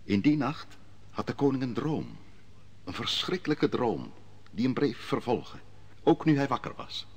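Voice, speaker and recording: An actor playing the prophet Daniel in an old radio drama, telling his story in a measured, solemn way. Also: mid-range voice, big pitch swings, slightly rough. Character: prophet Daniel, a calm, grave advisor. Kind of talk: 1950s-60s radio drama acting.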